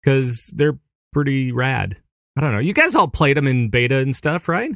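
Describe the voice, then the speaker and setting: A man rambles through casual podcast chat, often restarting his sentences and laughing easily. His voice sits in a middle pitch. The sound is dry and close to the mic. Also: big pitch swings, normal speed, creaky, fairly bright tone, dry recording. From Minneapolis area, USA. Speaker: podcast co-host.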